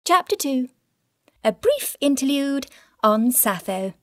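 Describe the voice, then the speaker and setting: An actress playing an irreverent, arch storyteller who narrates and comments in an audio drama. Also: female voice, high voice, very animated, takes it slowly, a bit bright, no echo, studio quality, full character voice. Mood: wry. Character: wry, irreverent female storyteller commenting on a scandalous life.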